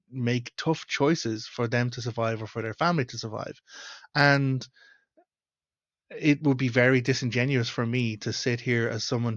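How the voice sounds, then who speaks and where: A man's voice: mid-range voice, dry recording. A man in his thirties with an Irish accent, hosting an online video and reflecting candidly to camera with plenty of fillers, recorded at home. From Ireland. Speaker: host. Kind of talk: casual talk to camera. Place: small room at home, indoors. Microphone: webcam at desk. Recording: dull, slightly lo-fi webcam sound.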